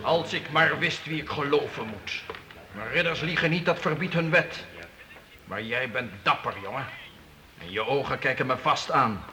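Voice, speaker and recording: An actor playing a stern but fair medieval count in a radio drama, commanding and formal. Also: male voice, medium pitch, animated, moderate pace, pushed and bright, slight background noise. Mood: troubled, regretful. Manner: commanding, lordly, formal archaic speech.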